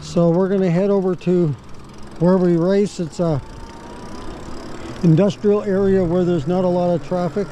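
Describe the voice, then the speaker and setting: A man vlogging casually while riding an e-bike outdoors, talking in a relaxed way with plenty of fillers. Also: sits high, moderate pace. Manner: relaxed, chatty, many fillers. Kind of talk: casual ride commentary. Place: outdoors on a moving e-bike by a busy road. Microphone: helmet or bike-mounted camera mic. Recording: loud wind and traffic noise. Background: road traffic.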